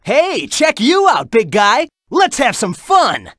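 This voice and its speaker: Cocky, lively young cartoon hedgehog hero. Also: medium pitch, slow pace, dry recording, studio quality, exaggerated voice. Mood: cocky, playful. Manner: brash, playful, urgent when calling out tips. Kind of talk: animated video game voice acting.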